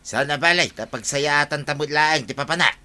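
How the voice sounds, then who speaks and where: A man's voice: a little high, normal speed, pushed and bright. A man playing a lazy, complaining farmer full of excuses in an Ilocano comedy radio drama, with an Ilocano accent. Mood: evasive.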